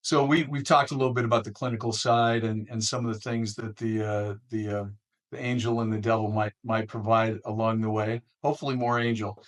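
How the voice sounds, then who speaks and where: An American man hosting an interview in a conversational, careful way, with deliberate and somewhat halting phrasing. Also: lowish voice, a bit bright. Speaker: interview host.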